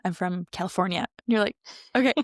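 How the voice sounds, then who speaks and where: A woman's voice: on the low side, fast, dry recording, studio quality. A Canadian woman co-hosting a podcast, upbeat and asking easy conversational questions. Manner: upbeat, asks questions, acts out quotes. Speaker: podcast co-host. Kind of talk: casual conversational interview.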